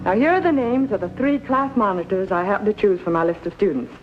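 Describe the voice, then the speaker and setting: Actress as formal schoolteacher, dull vintage sound. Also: female voice, medium pitch, faint music behind, lightly acted. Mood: formal, patient. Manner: formal, measured, courteous. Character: polite, formal schoolteacher addressing her class. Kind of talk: 1950s American television drama acting. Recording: dull lo-fi 1950s television soundtrack.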